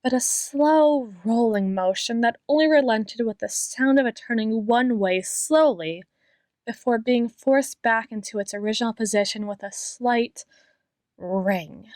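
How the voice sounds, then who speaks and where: A woman playing an obsessive, menacingly tender doctor in an ASMR roleplay, speaking in a deliberate, theatrical monologue with drawn-out words. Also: fairly high pitch, a little mellow, dry recording, studio quality, lightly acted. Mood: quiet menace. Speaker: audio roleplay performer.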